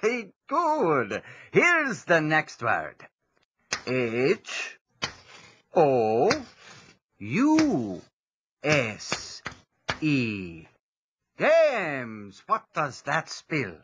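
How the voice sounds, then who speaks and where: Old-time schoolteacher, stern but kind, formal, slow and very sing-song, audio drama. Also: male voice, fairly high pitch, huge pitch swings, fairly bright tone, a little hiss or hum, exaggerated voice. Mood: instructive. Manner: formal, deliberate, instructive. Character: stern but kindly 19th-century village schoolmaster.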